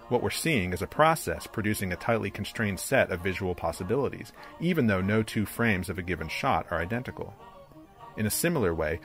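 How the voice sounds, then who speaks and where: Guy with a lowish voice reading an academic video essay, measured and articulate, bit of music behind. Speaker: presenter, digital comics creator. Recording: music under the voice.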